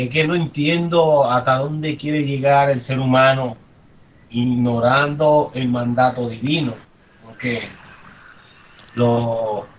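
A man's voice: on the high side, a bit bright, slight background noise. Distant-sounding Dominican man, emphatic. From Dominican Republic. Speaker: congregant giving comments. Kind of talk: impromptu religious testimony. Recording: distant from the microphone.

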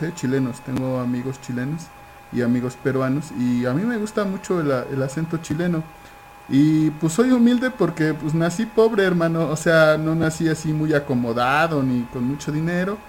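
A man with a fairly high voice talks through a Bible question. He hesitates often, restarting phrases and filling gaps. A faint hiss of noise sits under him. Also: darkish tone. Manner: hesitant, many fillers and restarts. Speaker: participant in an online Bible study. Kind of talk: casual informal testimony.

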